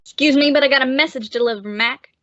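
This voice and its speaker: A woman's voice: high voice, fast talker, a bit bright, dull audio. A woman playing a cocky, belligerent male barroom tough with a sarcastic, needling manner in an old-time radio detective drama. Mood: threatening.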